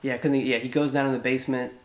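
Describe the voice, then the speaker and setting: A man's voice: mid-range voice, level tone, rapid-fire, echoey room, a loud crowd. A man hosting a casual gaming podcast, rambling and restarting his sentences. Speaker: podcast host. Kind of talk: casual chat.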